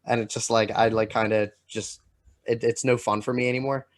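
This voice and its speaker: A man co-hosting a podcast in casual chat, talking in a halting, rambling way full of filler words. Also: medium pitch, level tone. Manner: halting, rambling delivery peppered with "like". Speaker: podcast co-host.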